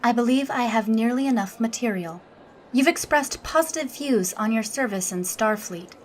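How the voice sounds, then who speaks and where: A woman playing a logical alien officer in an audio drama, speaking in a measured, formal, unemotional manner. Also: fairly high pitch, animated, slight background noise, close to an everyday voice. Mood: composed, courteous. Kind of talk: audio drama interview and log narration.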